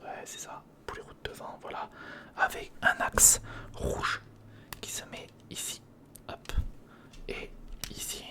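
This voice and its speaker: An ASMR creator, speaking in a breathy whisper close to the microphone, casually talking through hands-on work and repeating short phrases. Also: takes it slowly, long pauses. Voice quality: breathy, mostly unvoiced whisper. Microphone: close studio recorder microphone.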